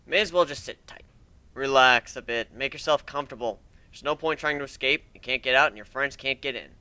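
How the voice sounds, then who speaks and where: A man voicing a smug, unhurried shapeshifting insect creature with a quiet, taunting manner. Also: medium pitch, no echo. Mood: smug menace.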